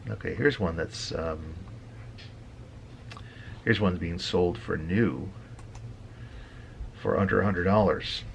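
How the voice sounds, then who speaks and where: A middle-aged man with a very deep voice talks through an informal tutorial. His delivery is gravelly and halting, as he thinks out loud while browsing. Faint noise sits behind him. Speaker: radio hobbyist presenting a tutorial. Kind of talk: unscripted casual explainer.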